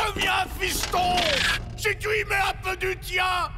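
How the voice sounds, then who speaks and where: An actor voicing a ferocious, boisterous space pirate chief in a cartoon, gravelly and theatrical, with blustering delivery. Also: male voice, flat delivery, slow pace, over a noisy crowd. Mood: coaxing. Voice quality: gravelly, booming. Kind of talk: French cartoon villain acting. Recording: loud music behind the voice.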